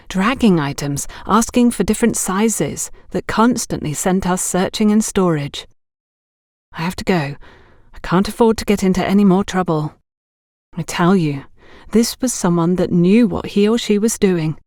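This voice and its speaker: A woman's voice: mid-range voice, lively intonation, normal speed, a little mellow, no echo, studio quality, natural voice. A woman voicing a worried, aggrieved shop girl in an audiobook, speaking mournfully and with emphasis. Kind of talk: audiobook character dialogue.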